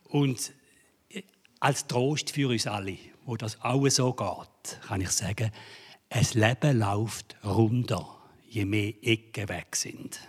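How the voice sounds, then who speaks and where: A man's voice: on the low side, slow pace, breathy edge. A man preaching a sermon in a church hall, joking and building to dramatic emphasis. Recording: reverberant hall.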